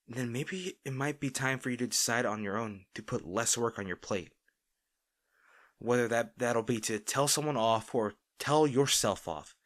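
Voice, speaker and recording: Man playing a worried boyfriend with expressive swings. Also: mid-range voice, natural voice. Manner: earnest, pleading, emphatic, with sighs. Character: worried, caring boyfriend pleading with overworked partner. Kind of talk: intimate roleplay audio drama.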